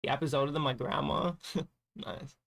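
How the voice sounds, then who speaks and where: A tired man streaming a game, chatting loosely with a raspy edge and casual swearing. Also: fairly high pitch, normal speed, a bit bright. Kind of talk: casual gaming stream chat.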